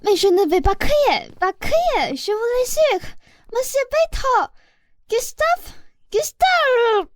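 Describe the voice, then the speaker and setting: A woman's voice: extremely high pitch, big pitch swings, takes it slowly, no room sound, studio quality, exaggerated voice. A young woman playing a lovestruck, headstrong young woman who pleads and insists in a comic radio play. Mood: frightened. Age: young adult. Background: music.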